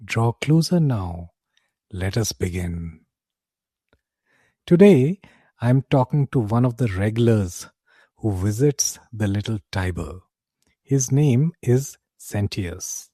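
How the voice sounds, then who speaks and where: A man narrating a scripted fantasy tale in character as a tavern keeper, with theatrical, deliberate phrasing. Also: on the low side, animated, dark tone, slightly rough, no room sound, studio quality. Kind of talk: dramatic scripted fantasy storytelling.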